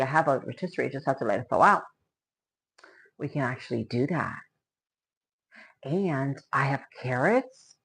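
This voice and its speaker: Lower-pitched woman chatting while cooking. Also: a bit bright, slightly rough. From born in Spain to Cuban parents, raised in Florida from age ten. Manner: chatty, exclaiming, thanking viewers as she works. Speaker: live stream host cooking at home. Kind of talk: casual chat with live viewers. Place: home kitchen.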